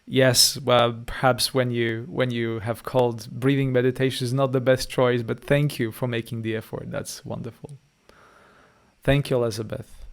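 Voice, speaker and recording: A man's voice: mid-range voice, level tone, moderate pace, creaky. A man with a Polish accent, a meditation teacher leading a guided meditation and a live-stream chat, speaking to listeners in short, direct phrases.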